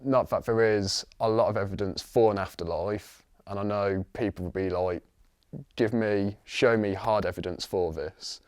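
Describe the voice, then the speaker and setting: A man's voice: on the low side, fairly even intonation, moderate pace, darkish tone, no room sound, clean studio sound. A twenty-one-year-old man, a student, talking casually in a documentary interview. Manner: casual, conversational. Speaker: media production student.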